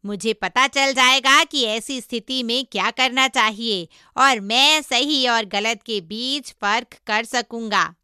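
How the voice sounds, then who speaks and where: Clever monkey disciple done by a woman: high, piercingly bright, cajoling and cheeky, storybook character. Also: slow, hardly any pauses, clear, resonant tone, no room sound, clean studio sound, heavily acted. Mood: boastful. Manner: emphatic, cajoling. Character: cheeky, clever male monkey disciple, placating his master.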